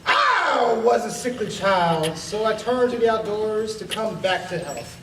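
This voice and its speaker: A man's voice: high voice, big pitch swings, measured pace, very noisy. A man acting a hearty reformed hunter turned nature defender, a past president, in an amateur radio play. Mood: earnest, reminiscing. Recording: echoey, distant mic, music behind.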